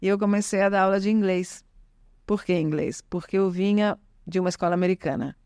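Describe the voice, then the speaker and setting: A woman's voice: mid-range voice, steady tone, fairly quick, a little mellow, clean tone, dry recording, studio quality. An Italian-born woman whose first language is Italian, talking in a chatty way as an interview guest, with frequent tag questions and restarts. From Italy. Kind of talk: casual conversational interview.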